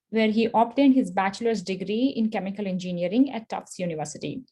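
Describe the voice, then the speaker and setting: A woman's voice: mid-range voice, warm tone. A woman moderating a panel, introducing speakers with a lot of hesitant fillers.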